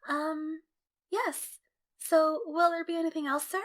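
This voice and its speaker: Woman playing a high-voiced flirty waitress. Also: fairly even intonation, measured pace. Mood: coy. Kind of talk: comic dub voice acting.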